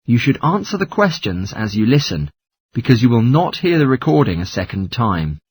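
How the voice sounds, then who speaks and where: A man's voice: medium pitch, very animated, normal speed, breathy edge, no room sound. A man narrating scripted exam instructions in a formal, instructional manner. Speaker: test narrator.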